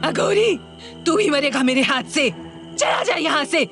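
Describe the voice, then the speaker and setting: A woman's voice: high voice, lively intonation, a little slow, voice pushed up. A woman voicing a bloodthirsty witch ghost in a cartoon horror story, drawing out her threats menacingly. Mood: furious, threatening. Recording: music behind the voice.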